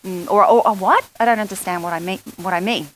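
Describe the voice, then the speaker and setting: A woman's voice: medium pitch, fast talker, slight background noise. Thoughtful woman on a festival panel. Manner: thoughtful, restarts sentences often. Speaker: panelist, columnist. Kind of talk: casual panel discussion. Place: festival stage.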